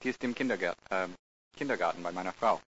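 A man's voice: sits low, fast, fairly bright tone. An actor playing an architect and father of three in his thirties, answering questions with many hesitations. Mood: plain. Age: 30s. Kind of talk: scripted language-course dialogue. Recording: dull hissy old tape.

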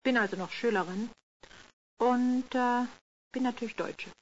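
A woman playing a slow, hesitant school leaver. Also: fairly high pitch. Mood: unsure. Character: young woman just finished school, hesitant. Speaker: role-play speaker. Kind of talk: scripted language-course role-play. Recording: lo-fi tape with faint hiss.

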